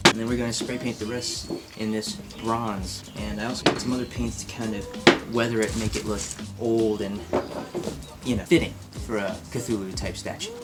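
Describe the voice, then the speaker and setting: A man's voice: on the low side, level tone, moderate pace, loud music behind the voice. A man talking casually and informally as he walks viewers through a hands-on craft project. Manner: informal, self-admittedly impatient. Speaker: craft vlogger. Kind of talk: casual DIY narration.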